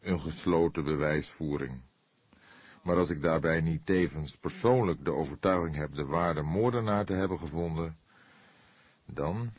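A man voicing an old police detective in an audiobook, weary and thoughtful, speaking in a measured, deliberate way with gentle sarcasm. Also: a bass voice, breathy edge, no echo, exaggerated voice. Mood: soft, weary. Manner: slow, measured, deliberate, soft-spoken.

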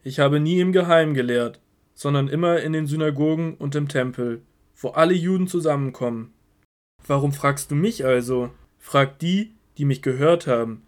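Guy playing a calm but firm holy teacher in a bible audio drama, kinda high voice, declaims it. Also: normal speed, close to an everyday voice. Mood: firm, challenging.

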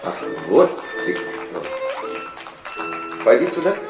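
A man's voice: on the high side, sing-song, slow pace, over music, exaggerated voice. An actor playing a suave, nostalgic middle-aged aristocratic father in a Russian radio drama, urbane and theatrical. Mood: delighted.

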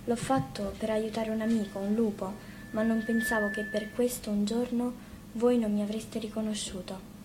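A woman's voice: a little high, flat delivery, normal speed, natural voice. A woman voicing an earnest, pleading little boy in a storybook reading, with faint noise behind. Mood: earnest confession. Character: small lost boy, earnest, long away from home. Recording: faint noise, live radio broadcast.

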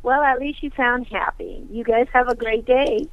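A woman's voice: fairly high pitch, moderate pace, no room sound. A woman caller chatting casually over a telephone line. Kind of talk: casual phone chat. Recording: thin, band-limited phone line.

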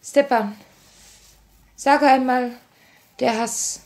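A fairly high female voice with a creaky edge, slow and solemn. She is a grave revolutionary, sorrowful and tender yet resolute, in the style of a 1950s German radio play. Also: steady tone, a little mellow, heavily acted. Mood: grave, restrained. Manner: measured, solemn delivery.

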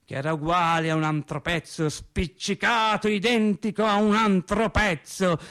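An actor playing a bitter, sardonic factory worker in a theatrical radio monologue, speaking emphatically and colloquially. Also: male voice, high voice, full character voice. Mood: weary, insistent. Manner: emphatic, colloquial, sarcastic, with deliberate repetitions. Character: bitter, sardonic Italian factory worker recounting workplace deaths. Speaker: stage actor.

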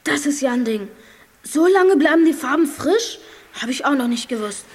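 A woman playing a naive, easygoing schoolboy sidekick in a German children's audio drama, guileless and slow on the uptake. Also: high voice, animated. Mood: puzzled, naive.

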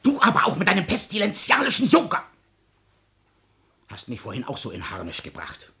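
A gruff-tempered older father from a classic radio play. He blusters and exclaims in earthy fashion, his pitch leaping up and dropping down. Beneath the hot temper sits a loving parent. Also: huge pitch swings, brisk, bright, forceful tone, heavily acted. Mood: angry, blustering. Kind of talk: classic German stage drama radio acting.

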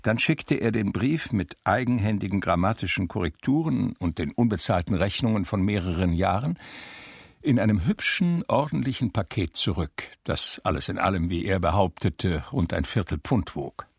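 A low-voiced, gravelly ageing recluse tells his own story for a radio play. He is measured and reflective, with melancholy and dry irony, recorded close in a very dry studio. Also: lively intonation, no room sound, full character voice. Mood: wry, reflective. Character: Homer, melancholy ageing blind recluse recalling his life. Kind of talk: literary first-person radio drama narration.

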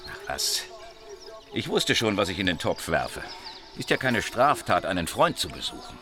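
An actor playing a coarse, defensive, irritable mobster in a radio crime drama. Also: male voice, low-pitched, big pitch swings, moderate pace, music in the background. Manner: gruff, cursing, defensive. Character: coarse, irritable male Sicilian mobster with guilty secret.

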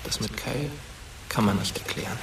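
A man's voice: mid-range voice, brisk, creaky, far from the mic, noisy background, close to an everyday voice. An actor playing a mischievous, cocky dead teenage boy in a radio drama. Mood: sardonic, ominous.

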